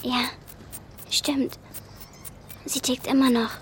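A woman's voice: sits high, level tone, slow, a bit bright, slight background noise. An actress playing a prim, plucky nine-year-old refugee girl, polite and direct, in a radio drama. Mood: fretful.

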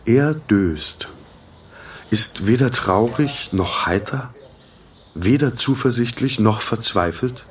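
An actor playing a sober, detached storyteller, narrating a literary radio drama in a measured manner. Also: male voice, mid-range voice, animated.

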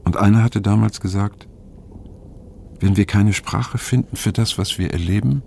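An actor playing a reflective man who narrates his memories in a radio drama, measured and solemn, with a low creaky voice. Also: mellow, slight background noise. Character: reflective male narrator recalling a past LSD experiment.

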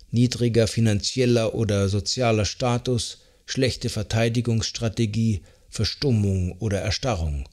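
A man reads scripted nonfiction as an audiobook narrator, in an articulate, measured, explanatory way. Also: mid-range voice, darkish tone. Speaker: audiobook narrator of a psychology self-help book.

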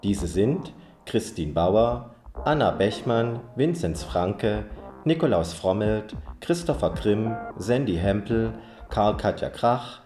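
A man's voice: on the low side, sing-song, takes it slowly, dark tone. A man reading scripted credits as an announcer. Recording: roomy, faint guitar music behind.

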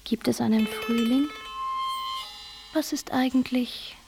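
A woman's voice: on the high side, steady tone, slow, creaky. An actress playing an airy, contented society lady musing lightly on trifles in a radio play. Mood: airy, languid. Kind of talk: radio play inner monologue. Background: instrumental music.

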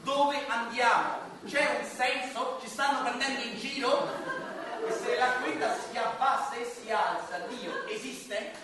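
A man's voice: very high voice, a little slow. A man acting an earnest, obsessive eccentric in a radio comedy, solemn and pedantic. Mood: earnest chairing. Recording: very echoey, distant, noisy.

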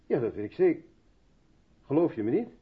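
A man's voice: mid-range voice, sing-song, fairly quick, breathy edge, narrow, tinny audio. An actor playing a brusque, reluctant man absorbed in his work, hesitant in manner, in a Dutch radio drama from the 1970s. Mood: defensive, brusque.

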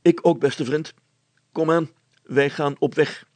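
A man's voice: on the high side, steady tone, slow, darkish tone, dry recording, pitched above the performer's own voice. A man voicing a pompous, self-important village schoolmaster who plays detective in a storybook, declaiming in formal words. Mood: eager.

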